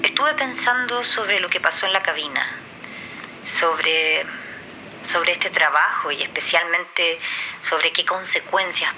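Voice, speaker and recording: A woman's voice: fairly low pitch, pushed and bright, a little hiss or hum, low-quality recording. A woman playing a thoughtful, uncertain, sincere young professional leaving a voice message in an audio drama.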